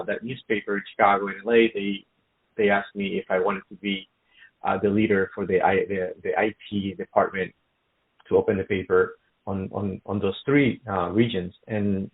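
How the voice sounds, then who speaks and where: Man from Colombia, middle-aged, low voice, halting, lots of fillers, narrow phone-line sound. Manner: halting, many fillers and restarts. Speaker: guest, IT business owner. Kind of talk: casual interview talk.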